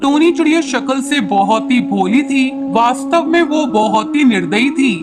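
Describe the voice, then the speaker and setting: Very high-pitched male storyteller. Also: expressive, moderate pace. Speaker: narrator. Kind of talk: scripted children's story narration. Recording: background music bed.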